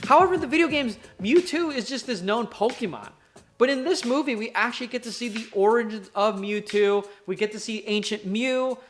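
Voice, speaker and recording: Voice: mid-range voice, fairly quick. An enthusiastic, chatty video host giving casual, emphatic film commentary in a countdown format. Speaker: YouTube host reviewing films. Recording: music playing behind the voice. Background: background music.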